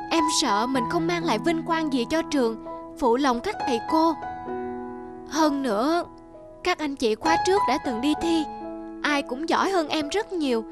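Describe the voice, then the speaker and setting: A woman's voice: high-pitched, animated, measured pace, over loud music. A woman voicing an earnest, self-doubting schoolboy in a cartoon, polite and hesitant in manner. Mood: downcast, doubtful. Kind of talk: cartoon dubbing.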